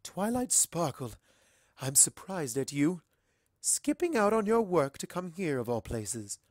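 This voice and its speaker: A reader puts on a regal pony princess from a storybook. She is benevolent and authoritative, with formal, measured phrasing. Her voice is recorded close and dry. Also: mid-range voice, sing-song, darkish tone, no echo, pitched above the performer's own voice. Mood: gentle reproach.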